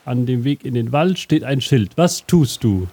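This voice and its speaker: A man hosting an interactive audio adventure as game master, with a rambling, absent-minded, deadpan delivery. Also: mid-range voice, measured pace, husky. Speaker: game master and show host.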